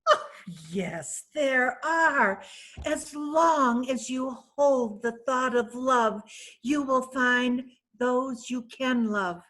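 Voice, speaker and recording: A woman playing a vain, flirtatious wealthy widow in an amateur stage reading, laughing often and speaking in a slow, theatrical way. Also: sits high, a bit bright, heavily acted. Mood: boastful. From San Francisco. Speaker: reader in a play reading. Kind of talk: amateur readers' theatre acting.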